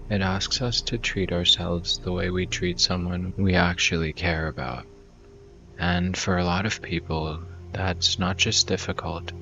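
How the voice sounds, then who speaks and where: A man guiding a meditation in a gentle, soft-spoken, conversational way, leaving room between phrases. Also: low-pitched, dull audio. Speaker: meditation guide.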